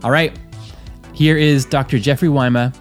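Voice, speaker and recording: A man's voice: mid-range voice, steady tone, normal speed, faint music behind. A man hosting a preaching podcast, reading a plain and direct event announcement and then interviewing a guest, with frequent fillers. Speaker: podcast host.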